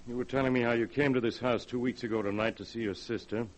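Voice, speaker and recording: An actor in a 1940s radio drama playing a businesslike police inspector with an informal American manner. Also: male voice, mid-range voice, steady tone, fast talker, natural voice, low-quality recording. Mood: businesslike, questioning. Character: brisk, plain-spoken police inspector.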